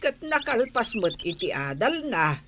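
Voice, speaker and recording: Older mother, Ilocano accent, plaintive, slow, low voice, chirping birds behind, radio drama. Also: sing-song, fairly bright tone. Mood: worried, plaintive. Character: doting, worried older mother of grown daughter. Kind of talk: Filipino radio drama acting. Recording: background noise with birdsong.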